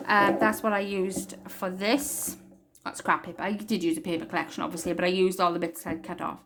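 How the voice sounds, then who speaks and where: A woman's voice: fairly low pitch, level tone, fairly quick, background noise. A woman with a Welsh accent hosting a casual craft tutorial, chatting and thinking aloud as she works at her desk. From Wales. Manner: chatty, rambling, thinks aloud while working.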